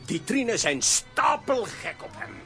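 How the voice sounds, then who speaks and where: High excitable comic adventurer. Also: male voice, slow pace, full character voice. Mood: excited, baffled. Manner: stammering, exclamatory. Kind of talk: children's radio play acting. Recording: music and crowd behind voice.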